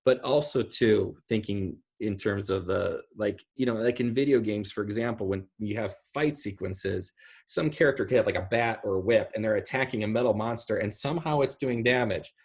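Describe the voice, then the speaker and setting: Husky middle-aged man, casually explaining. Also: lowish voice, normal speed. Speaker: guest speaker. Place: indoor room at home, on a video call. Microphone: video call microphone.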